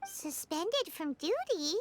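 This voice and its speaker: A woman voicing a tiny, chatty, curious fairy-like girl companion in a video game, expressive and cutesy. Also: very high voice, slow pace, slight background noise, full character voice. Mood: puzzled. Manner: expressive, cutesy, refers to self by name.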